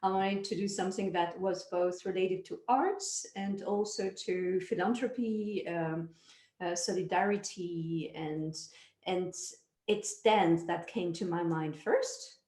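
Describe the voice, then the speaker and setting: A woman from France with a French accent, chatting as an interview guest, unhurried and full of fillers. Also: on the low side, dark tone. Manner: unhurried, many fillers and restarts. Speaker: guest, nonprofit founder. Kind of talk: casual interview chat. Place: home in Zurich. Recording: echoey room.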